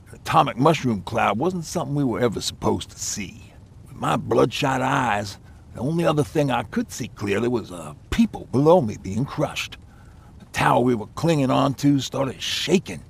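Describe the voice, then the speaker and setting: A gravelly old folksy survivor. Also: male voice, medium pitch. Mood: somber. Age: older. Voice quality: gravelly, raspy. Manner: folksy, lighthearted, chatty. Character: folksy aging male survivor, lighthearted but haunted. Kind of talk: game voice acting, reminiscing dialogue.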